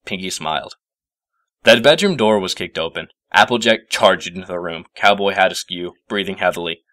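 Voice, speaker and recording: A man narrating a scripted story as an expressive storyteller. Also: sits low, moderate pace, no room sound, clean studio sound.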